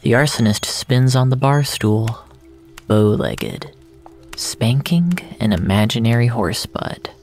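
Slow, brooding actor as a regretful male narrator. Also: medium pitch, expressive, darkish tone, faint music behind. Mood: wry. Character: depressed, regretful ex-boyfriend narrating his own story. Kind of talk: scripted first-person audio drama narration.

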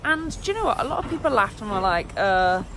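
A woman's voice: a little high, big pitch swings, quick pace, noisy background. A young woman, an enthusiastic and chatty travel vlogger talking outdoors into a handheld camera. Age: young adult. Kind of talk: casual travel vlog. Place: outdoors in a city. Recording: music playing under the voice.

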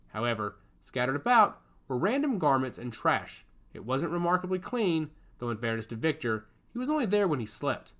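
A male audiobook narrator reading a story aloud at a middle pitch. His delivery is expressive, with a wide, animated rise and fall through each sentence.

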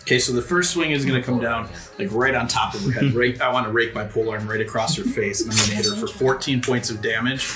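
A man's voice: medium pitch, fairly even intonation, fast, few pauses, over loud music, poor audio. A man playing a tabletop game, casually describing his character's actions and calling out rolls.

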